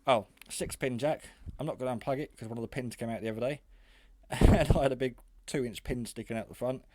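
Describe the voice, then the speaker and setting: A man's voice: medium pitch, brisk, noisy background. A man giving a casual, hands-on product demonstration in a hesitant, rambling, matter-of-fact way. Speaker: hobbyist radio reviewer. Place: garage.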